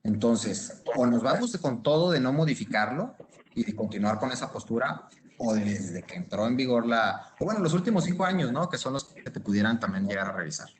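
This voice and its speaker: A man's voice: mid-range voice, lively intonation, fairly quick. A man with a Mexican accent giving informal legal commentary as a panelist, restarting phrases and checking in with short question tags.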